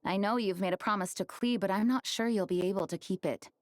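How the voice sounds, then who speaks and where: A woman's voice: medium pitch, fairly quick, a little hiss or hum, natural voice. A woman voicing an earnest, dutiful female knight commander who speaks in a formal, composed way in video game dialogue. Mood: resolute.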